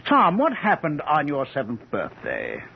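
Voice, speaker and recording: An intense professor of old-time radio drama. His slightly high voice swings widely as he persuades and explains with deliberate emphasis. The recording is dull, with some faint noise. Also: wildly swooping pitch, gravelly, full character voice. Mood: persuasive. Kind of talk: mid-century American radio drama acting.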